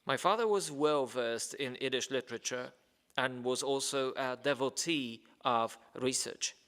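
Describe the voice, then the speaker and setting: A man's voice: medium pitch, unhurried, fairly bright tone, clean tone. Hesitant man reading in a reverberant hall. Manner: hesitant, many fillers, stumbles on names. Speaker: reading a guest's letter aloud at a ceremony. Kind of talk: formal speech read aloud. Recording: long reverb of a large hall.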